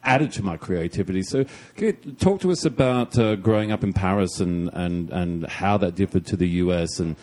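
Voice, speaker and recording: A man from Australia hosting a podcast, asking long questions in a halting way with many repeated words. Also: deep voice, a little mellow. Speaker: podcast host. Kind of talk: casual interview.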